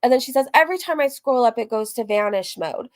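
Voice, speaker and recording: A woman's voice: a little high, animated, fast. A woman streamer chatting casually through a recap, reading messages aloud, candid and rambling, breaking off into asides and dropping casual swear words. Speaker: streamer and host of a reaction livestream.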